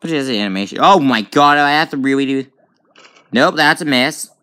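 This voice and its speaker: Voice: mid-range voice, expressive, a little fast, bright, forceful tone, clear, resonant tone. A gamer giving casual let's play commentary on a tablet game, reacting aloud with frequent exclamations. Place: indoors at a tablet.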